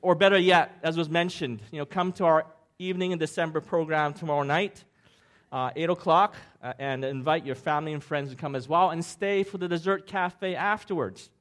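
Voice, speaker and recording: A middle-aged pastor from Hong Kong, preaching in a light, jokey way. His voice sits a bit high for a man, and he keeps asking the room questions before each point. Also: fairly quick, fairly bright tone. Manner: jokey, upbeat, asks the crowd questions. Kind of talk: sermon with audience interaction.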